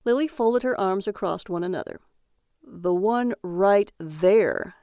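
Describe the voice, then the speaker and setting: A woman's voice: mid-range voice, slow, dark tone, dry recording, clean studio sound. A woman voicing an easygoing, childish husband who sulks like a hurt little boy. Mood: calm.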